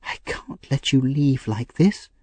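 A man reading the part of a kind, gentle young lady in a storybook, speaking softly. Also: medium pitch, slow, slightly rough, no echo, voice pushed up. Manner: soft and gentle.

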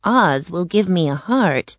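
A woman voicing a gentle, wistful tin man in a storybook, with measured delivery. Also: on the low side, animated, no echo. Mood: wistful, hopeful.

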